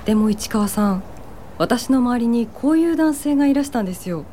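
A woman's voice: fairly high pitch, expressive, brisk, warm tone, a little hiss or hum, natural voice. An actress playing a doubtful, politely questioning woman at a meeting in a Japanese radio drama. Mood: skeptical.

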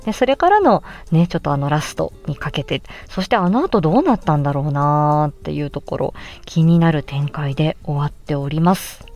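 A woman hosting a casual solo podcast, chatting in an animated way with emphatic exclamations as she looks back on a project. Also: low-pitched, brisk, few pauses, highs cut off. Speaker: podcast host and amateur voice actress. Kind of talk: casual solo chat looking back on an audio drama.

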